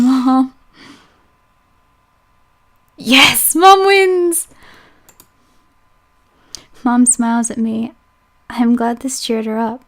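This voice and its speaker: A woman reading a visual novel aloud as a let's play host, breaking off into chatty asides and playful self-corrections. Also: on the high side. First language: English.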